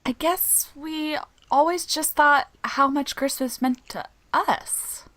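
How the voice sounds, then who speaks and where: A woman's voice: high-pitched, slow, a bit bright. A female performer playing a dramatic, earnest teenage daughter in a radio play, with a girlish manner. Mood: pensive. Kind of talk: old-time radio drama acting.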